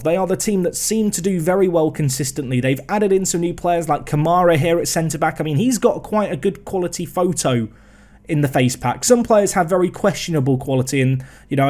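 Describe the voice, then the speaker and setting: A young man talks through his football management save in a loose, chatty way. His voice sits a little high for a man, and he often pokes fun at himself as he goes. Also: mellow. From England. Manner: chatty, self-mocking, trails off and restarts. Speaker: gaming video host. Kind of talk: casual game commentary.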